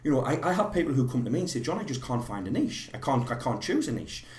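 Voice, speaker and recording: A man with a slightly hoarse voice from a cold, teaching a live online course in a rambling way with many fillers and restarts. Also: mid-range voice, sing-song, fast talker, darkish tone, echoey room.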